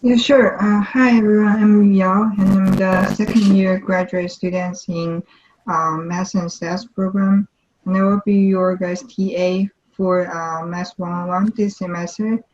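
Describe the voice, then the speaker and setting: A woman's voice: medium pitch, fairly even intonation, unhurried, a little mellow, lo-fi sound. A woman graduate teaching assistant introducing herself in an online class, hesitant, with "uh" and "um" fillers. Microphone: video call.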